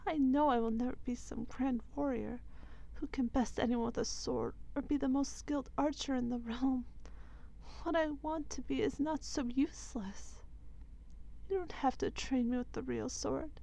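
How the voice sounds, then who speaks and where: A woman playing a young princess, stubborn yet unsure of herself, in a soft, hesitant ASMR roleplay. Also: high voice, normal speed, poor audio. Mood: pleading, defiant. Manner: soft, hesitant, breathy asides.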